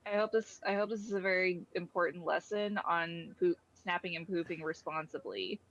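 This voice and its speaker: A woman's voice: fairly low pitch, steady tone, normal speed, a bit bright, dry recording. A woman playing a blunt, matter-of-fact otherworldly entity in an improvised comedy skit, explaining things with casual swearing. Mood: dry, scolding. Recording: dull lo-fi microphone.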